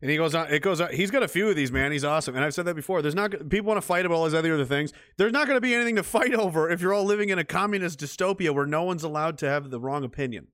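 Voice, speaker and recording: A man's voice: a little high, racing, hardly any pauses, a bit bright, no room sound, clean studio sound. A man hosting a livestream, rambling in casual, heated and profane commentary. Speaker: livestream host.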